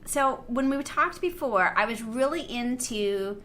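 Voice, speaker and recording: A woman's voice: a little high, animated, measured pace, sharp tone. A woman hosting a casual interview podcast in an informal, rambling way. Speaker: podcast host. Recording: clear background noise.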